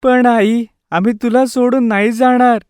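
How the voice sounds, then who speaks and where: An actor voicing a small, devoted young lion cub in an animated story, pleading in an earnest, childlike way. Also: mid-range voice, unhurried, clean tone, no room sound, studio quality, natural voice. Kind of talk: animated story character voice acting.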